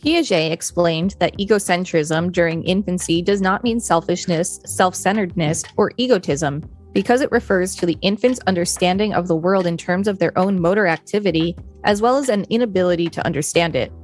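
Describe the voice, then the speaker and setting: A woman's voice: on the low side, fairly quick, few pauses, soft background music. A woman co-hosting a podcast, narrating an encyclopedia article read straight from the text.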